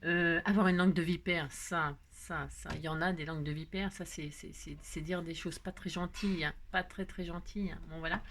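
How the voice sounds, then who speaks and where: A woman's voice: fairly low pitch, fairly even intonation, a little fast, hardly any pauses, a bit bright, a little hiss or hum. A woman hosting a craft video, chatting casually in a rambling, jokey way full of digressions. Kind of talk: casual chat.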